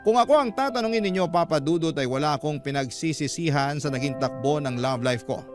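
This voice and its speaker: A man's voice: on the high side, a little fast, natural voice. A man voicing a humble married working man who recounts his life in earnest, reflective radio drama narration. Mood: reflective, accepting. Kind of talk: first-person Tagalog radio drama narration. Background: guitar music.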